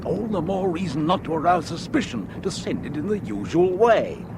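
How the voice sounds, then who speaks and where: An actor playing a scheming male conspirator who gives curt, hushed orders, in a radio drama. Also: a little high, big pitch swings, normal speed, noisy background. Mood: commanding. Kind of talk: 1970s radio drama acting.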